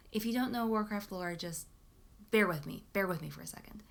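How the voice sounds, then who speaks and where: A woman's voice: medium pitch, normal speed, vocal fry. A young woman vlogger chatting casually and enthusiastically, often repeating words for emphasis. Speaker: book vlogger. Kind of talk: casual chat to camera.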